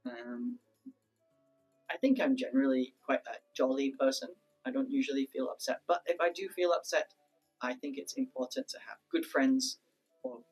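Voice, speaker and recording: A man's voice: mid-range voice, vocal fry, slightly rough, low-quality recording. A man in his thirties from the UK, a teacher answering pupils' questions in a cheerful, easygoing way. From United Kingdom. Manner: cheerful, easygoing, lots of ums. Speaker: teacher being interviewed. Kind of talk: casual interview answers.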